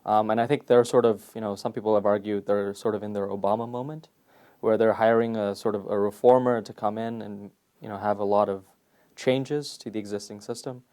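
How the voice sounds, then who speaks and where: Young man from Malaysia, low-pitched, measured academic interviewee, thoughtful with fillers. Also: fairly even intonation, dark tone. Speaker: PhD candidate, interview guest. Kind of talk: conversational interview answers. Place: conference venue.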